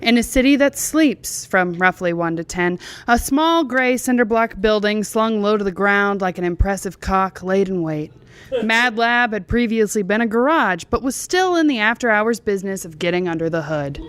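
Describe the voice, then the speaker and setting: A woman's voice: medium pitch, lively intonation, moderate pace, smooth, no room sound. A drunk woman reading a bawdy comic story aloud as a storyteller.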